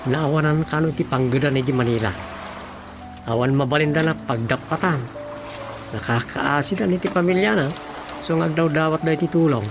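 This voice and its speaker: A man's voice: on the high side, brisk, natural voice. A man with an Ilocano accent playing a folksy, chatty village elder in a radio comedy-drama. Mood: explaining. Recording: music behind the voice.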